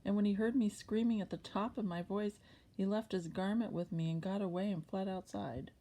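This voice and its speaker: A woman's voice: mid-range voice, fairly even intonation, fairly quick, mellow, a little hiss or hum, natural voice. A woman voicing a scheming, seductive ancient Egyptian master's wife in a scripture story, raised and insistent. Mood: indignant, accusing.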